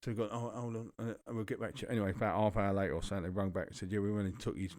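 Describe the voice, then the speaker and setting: A man's voice: fairly low pitch, fairly even intonation, racing, a little mellow, dry recording, clean studio sound. Sweary London man telling stories. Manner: swearing, rambling anecdotal storytelling. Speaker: podcast co-host. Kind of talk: casual storytelling chat.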